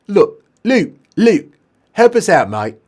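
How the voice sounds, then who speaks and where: A man's voice: high voice, big pitch swings, slow pace, darkish tone, pitched above the performer's own voice, heavily acted. A man voicing a stubborn, impatient young British working man who pleads and insists in a matey, repetitive way while a story is read aloud. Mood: stubborn, pleading. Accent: mock British.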